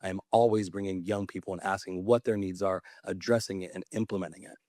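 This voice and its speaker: A man in his thirties from California addresses a panel audience with earnest conviction. His voice sits low, and the sound is dry and close, with little room around it. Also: fairly even intonation, fast, warm tone, no room sound. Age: 30s. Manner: earnest, passionate advocacy. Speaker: mayor on a panel. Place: conference panel.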